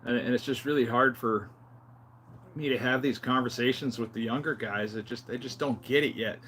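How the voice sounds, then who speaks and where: A man's voice: medium pitch, fairly even intonation, moderate pace, fairly bright tone. Fifty-something man, casual vlog, noisy background. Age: 50s. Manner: plain-spoken, conversational, rambling. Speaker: health vlogger. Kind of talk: casual unscripted vlog talk.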